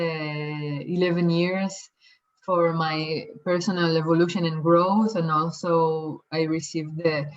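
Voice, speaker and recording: Israeli woman teacher, talks real slow and kinda hesitant, lowish voice, dull lo-fi sound. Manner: hesitant, many fillers and restarts. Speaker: light language teacher. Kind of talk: slow, soft-spoken workshop introduction.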